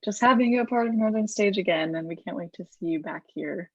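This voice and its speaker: Casting: woman discussion host, mid-pitched, encouraging and polite, on dry, slightly muffled lo-fi audio. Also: fast talker, no room sound. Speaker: moderator of a post-show discussion. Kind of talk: casual hosted Q&A.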